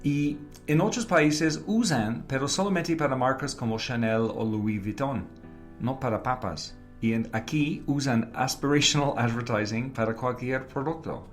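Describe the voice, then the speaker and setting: A man from Canada speaks with a native English speaker's foreign accent, at a middling pitch. He answers interview questions in a halting, conversational way and mixes in English words. Faint music sits behind his voice. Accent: English-speaking foreign accent in Spanish. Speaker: interview guest, advertising creative and professor.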